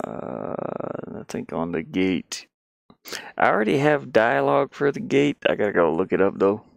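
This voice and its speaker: A man casually thinking out loud in an offhand, muttering way as he works through game development on screen. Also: on the low side, very animated, moderate pace, vocal fry, no echo. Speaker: indie game developer, streamer. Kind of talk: casual think-aloud screen-share commentary while working.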